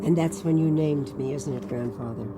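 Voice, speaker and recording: A woman's voice: a bass voice, moderate pace. A woman voicing an eager, loving young boy who earnestly begs and questions, in a dramatic storybook read-aloud. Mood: thoughtful, earnest. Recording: music under the voice.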